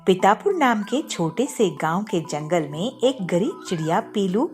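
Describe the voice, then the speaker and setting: A woman's voice: medium pitch, big pitch swings, normal speed, music in the background. A woman narrating a scripted children's story as storyteller.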